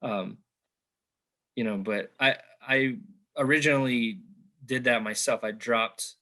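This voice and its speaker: A man with a bright, forward voice hosts an interview over a video call. He talks at length, stacking fillers and restarts as he works toward his questions. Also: medium pitch, slow. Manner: talkative, rambling, filler-heavy. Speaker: interviewer, host. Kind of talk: conversational interview.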